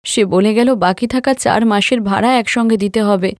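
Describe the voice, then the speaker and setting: A woman's voice: mid-range voice, moderate pace, no echo, clean studio sound, natural voice. A woman voicing an anxious, loving poor village wife and mother in a cartoon moral story. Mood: worried. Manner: plaintive, earnest.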